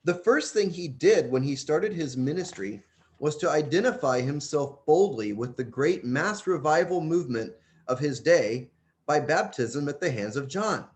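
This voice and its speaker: A man with a slightly high-set voice reads a religious book aloud. His delivery is earnest and emphatic, with lively rises and falls in pitch as he stresses key phrases. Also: normal speed. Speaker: audiobook reader.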